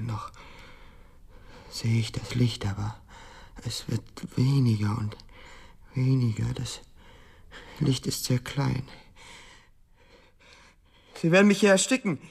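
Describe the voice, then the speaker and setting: An actor playing an agitated, self-absorbed male patient in an institution, halting and formal, pleading in a radio drama. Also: mid-range voice, vocal fry, a little mellow, breathy edge, heavily acted. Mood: despairing.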